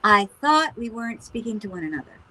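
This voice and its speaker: Casting: actress as exasperated, sardonic mother, fairly high and cutting voice, stage-play realism. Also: sing-song, a little fast, pushed and bright, slight background noise, poor audio. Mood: weary, guarded. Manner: dry, sarcastic, exasperated. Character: frazzled, sardonic mother of a grown military son. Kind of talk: naturalistic stage-play dialogue.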